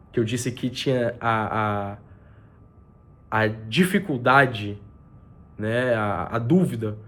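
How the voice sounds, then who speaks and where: A man with a bad cold talks straight to camera in a casual, earnest way as a mentor, his voice congested and nasal. He circles back over his points, restarting sentences and filling pauses, at an everyday middle pitch. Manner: earnest, repeats himself, many fillers and restarts. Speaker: business mentor. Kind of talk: casual faith-based motivational talk to camera. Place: spot with a view over the city.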